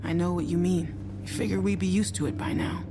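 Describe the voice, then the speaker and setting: An actress playing a blunt, easygoing guard in a video game, speaking matter-of-factly. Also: female voice, fairly low pitch, fairly even intonation, fairly quick, noisy background, close to an everyday voice. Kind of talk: naturalistic video game acting.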